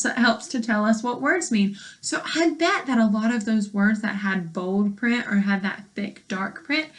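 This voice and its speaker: A woman teacher reading a book aloud to young children, deliberately, with explanations and questions for her listeners. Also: fairly high pitch, a bit bright. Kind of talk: read-aloud lesson with commentary and questions.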